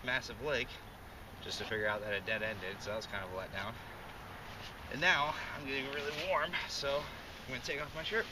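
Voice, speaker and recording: A man's voice: medium pitch, fairly quick, far from the mic, background noise. A young man chatting casually in a slangy, informal way, recorded outdoors in a canoe by a friend's camera. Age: young adult. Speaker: paddler, companion in the vlog. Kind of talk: casual chat. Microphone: camera held by companion.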